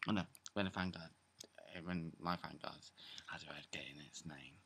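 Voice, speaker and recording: A young man with a sore, croaky throat, talking quietly in a half-whisper at home while he goes through his card game deck for a casual video. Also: a bass voice, steady tone, fairly quick, heavy background noise. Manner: hushed, half-whispering late at night. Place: indoors, seated on a bed or floor at home. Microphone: camera close in front, pointed at his hands.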